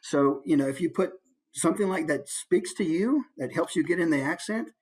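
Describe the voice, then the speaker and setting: Slightly husky male presenter. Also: a little high, lively intonation, brisk, darkish tone, rough recording. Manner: demonstrates points with brief put-on voices. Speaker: voice actor giving a coaching presentation. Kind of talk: informal instructional presentation.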